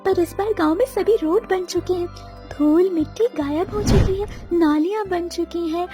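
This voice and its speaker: A woman's voice: extremely high pitch, moderate pace, over music. A woman voicing an eager young married sister-in-law from the city in a cartoon moral story. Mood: cheerful, earnest.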